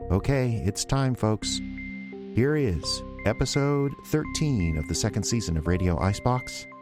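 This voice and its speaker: Deep-voiced, animated male announcer. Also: measured pace, over music. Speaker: host and announcer of audio theater show. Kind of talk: appreciative, scripted announcing.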